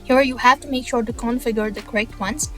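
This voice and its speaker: Higher-pitched woman narrating a software tutorial. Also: steady tone, brisk. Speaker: tutorial host. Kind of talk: step-by-step software screencast narration. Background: background music.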